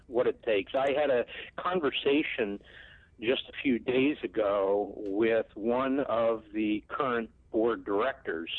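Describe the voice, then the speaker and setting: Slow, reflective male radio host. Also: medium pitch, no echo, phone-quality sound. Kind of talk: radio talk show interview.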